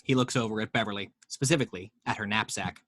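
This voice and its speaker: A man's voice: fairly low pitch, fast talker, a bit bright, no echo, studio quality. A man narrating the action lines of a screenplay table read, with wry, playful asides.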